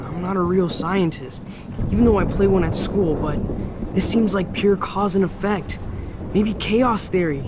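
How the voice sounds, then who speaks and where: A man's voice: high voice, a little slow. An actor playing a loyal, nerdy young male student in a dramatic video game, talking in an earnest, casual, wry way. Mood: concerned. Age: young adult. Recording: storm noise behind.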